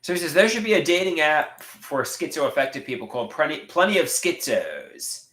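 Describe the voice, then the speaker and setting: A man's voice: a little high, sing-song, brisk, bright, forceful tone. A man in his late thirties chatting casually on a livestream and reading viewer comments aloud, with a stuffed nose. He halts often, repeating words and restarting sentences. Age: 30s. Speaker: livestream host. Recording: echoey room.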